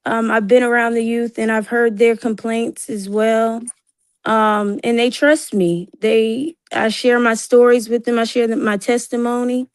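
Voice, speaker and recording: A woman's voice: on the high side, level tone, unhurried, smooth, no room sound. Earnest thirty-year-old woman, dry close sound. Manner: earnest, chatty, uses fillers. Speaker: church youth worker, guest. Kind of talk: casual discussion.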